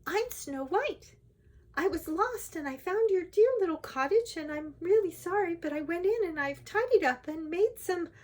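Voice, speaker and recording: Sweet young heroine, voiced by a woman, kind, earnest, very high pitch, lilting swoops, storybook style. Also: lively intonation, moderate pace. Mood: sweet, apologetic. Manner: sweet, bright-hearted, earnest.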